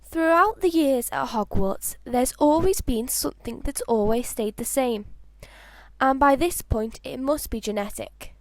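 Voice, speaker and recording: A high-pitched female voice narrates fan fiction in an expressive, homemade audiobook style. The sound is dry and close, and she trips lightly over a word here and there. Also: a little slow, dry recording.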